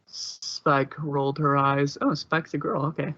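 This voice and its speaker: A man's voice: a little high, little pitch movement, a little slow, sharp tone, dry recording, muffled recording. A man narrating fan fiction in an informal amateur style, occasionally stumbling over words.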